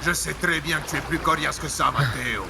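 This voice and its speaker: A man's voice: fairly high pitch, a little fast, creaky. An actor playing a bossy, threatening mob henchman in an audio drama. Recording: very noisy, boat engine and water.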